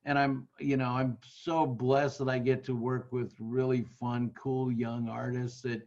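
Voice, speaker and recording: A man's voice: medium pitch, little pitch movement, unhurried, a little mellow. Chatty man in his sixties, muffled call. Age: 60s. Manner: chatty, laughing, self-deprecating. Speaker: record producer, panel guest. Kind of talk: casual panel chat. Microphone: video call.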